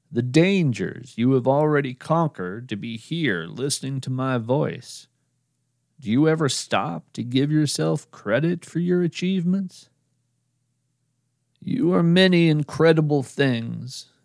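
A man narrating his podcast in a slow, measured, earnest scripted address to the listener. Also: medium pitch, creaky, a little mellow, no room sound, studio quality.

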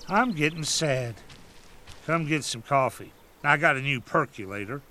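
A man acts out an old father in an audio drama. His voice is fairly high and animated, with folksy, emphatic phrasing and a stubborn, sorrowful streak. Faint ambient noise sits behind him. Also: normal speed. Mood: melancholy. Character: old father, sad, stubborn coffee drinker.

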